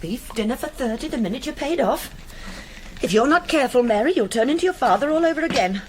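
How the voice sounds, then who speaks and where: Bossy, swooping, sharp-tongued older woman. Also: sits high, animated, a little hiss or hum. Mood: fussing, disapproving. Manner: scolding, candid, brisk. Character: bossy, disapproving older farming sister-in-law, sharp-tongued. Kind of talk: BBC radio drama acting.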